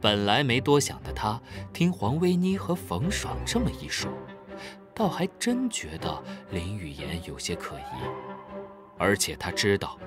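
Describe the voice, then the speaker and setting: A narrator telling a children's audio drama in an expressive storytelling manner. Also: medium pitch.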